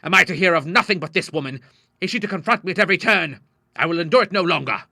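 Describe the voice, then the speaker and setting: Man acting an indignant, forceful Victorian father. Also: mid-range voice, expressive, fast talker, breathy edge, dry recording, studio quality, full character voice. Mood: furious. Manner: formal, sarcastic, emphatic. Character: indignant Victorian father, stern doctor, wounded and fiery. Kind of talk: dramatized audiobook dialogue.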